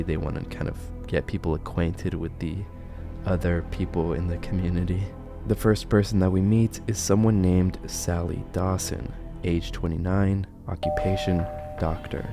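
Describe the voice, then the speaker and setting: A man's voice: deep voice, steady tone, moderate pace, mellow, faint music behind. A man narrating and commenting on horror videos as an online host.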